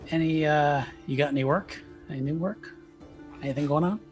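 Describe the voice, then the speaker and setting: A man's voice: a little high, brisk, faint music behind, pitched above the performer's own voice, natural voice. A man voicing a short, tired, slightly tipsy paranoid man who talks in a casual, hesitant, tongue-tied way in a tabletop game. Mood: casual, low-key.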